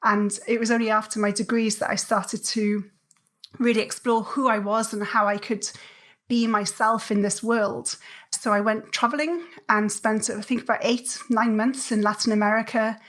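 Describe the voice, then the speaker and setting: A woman's voice: on the high side, fairly even intonation, moderate pace, fairly bright tone. A woman from South Wales with a Welsh accent, a podcast guest thoughtfully telling her life story. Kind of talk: reflective interview storytelling.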